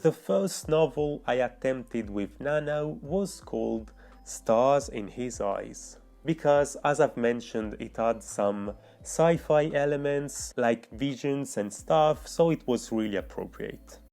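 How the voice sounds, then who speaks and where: A man's voice: medium pitch, takes it slowly, vocal fry, darkish tone, faint music behind. A man with an Italian accent, a non-native English speaker, narrating his own writing vlog in an earnest, chatty way. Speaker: writing vlogger. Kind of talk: scripted vlog voice-over.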